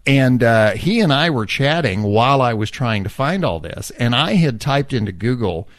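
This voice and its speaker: A man's voice: mid-range voice, lively intonation, moderate pace, no room sound, clean studio sound. A man co-hosting a podcast in a casual chat, rambling and restarting his sentences as he tells a story. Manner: rambling, with many restarts and chuckles. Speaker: podcast co-host.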